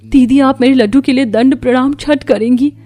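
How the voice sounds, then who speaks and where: A woman's voice: sits high, fairly even intonation, moderate pace, dry recording. Woman doing a haughty rich young wife. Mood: remorseful. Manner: sharp, haughty, raised voice.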